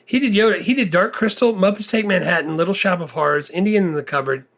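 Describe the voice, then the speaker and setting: A man with a gravelly voice co-hosting a movie podcast in a casual, halting conversational style. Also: high-pitched, fairly bright tone.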